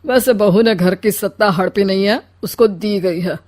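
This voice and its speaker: A woman's voice: mid-range voice, moderate pace, darkish tone, close to an everyday voice. A woman voicing a teasing older father-in-law in a comic cartoon story, with a dry, needling delivery.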